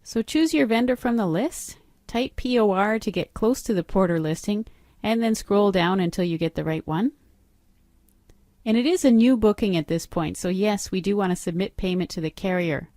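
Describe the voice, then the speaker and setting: Woman walking through software steps, plain middle voice, dead dry close mic. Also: mid-range voice, normal speed, no room sound. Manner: step-by-step instructional, matter-of-fact. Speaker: software trainer. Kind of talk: screen-recorded training walkthrough.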